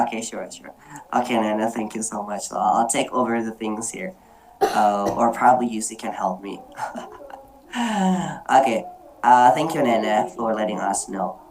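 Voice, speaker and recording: Woman moderator, extremely low voice, slow unhurried speech, hesitant fillers, video call. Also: darkish tone, slight background noise, low-quality recording. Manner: hesitant, many fillers and restarts. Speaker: meeting moderator. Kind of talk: online group discussion, personal storytelling.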